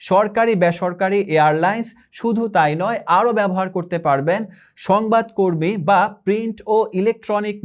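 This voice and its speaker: A man with a Bangladeshi accent reading a scripted product promo as an advertising announcer, formally and in short measured phrases. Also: sits high.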